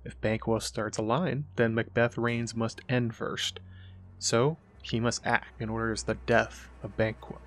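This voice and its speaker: A man with a medium-pitched voice narrates as the host of a literary explainer. His delivery is scripted and expository, laying out ideas point by point. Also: level tone, measured pace, fairly bright tone. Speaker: host of a literary video essay.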